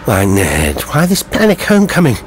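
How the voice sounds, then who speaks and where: A man's voice: mid-range voice, huge pitch swings, normal speed, slight background noise, pitched above the performer's own voice. A man voicing an agitated, fearful nobleman with exclamatory, archaic speech in a horror story. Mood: urgent questioning.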